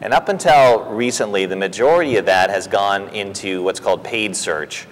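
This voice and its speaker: A man, a company president, explaining a technical topic in a steady, businesslike interview answer. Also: lowish voice, normal speed. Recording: reverberant room.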